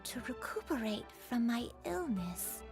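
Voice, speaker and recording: A woman voicing a gentle, frail granny with a failing memory in a video game, halting and apologetic. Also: on the high side, big pitch swings, music in the background. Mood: wistful, apologetic. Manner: halting, apologetic, breathy at phrase ends. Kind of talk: video game character acting.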